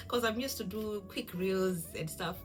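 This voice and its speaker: A woman's voice: mid-range voice, bright, forceful tone. A woman in her thirties with a Zimbabwean accent, chatting casually to camera as a cooking vlogger in a giggly, self-deprecating way. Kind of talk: casual introductory vlog talk to camera.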